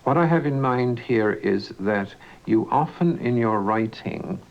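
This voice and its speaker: A man interviewing a writer, asking long, deliberate, elaborate questions. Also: mid-range voice, animated, gravelly. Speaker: arts interviewer. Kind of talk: probing literary interview. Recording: dull lo-fi broadcast recording.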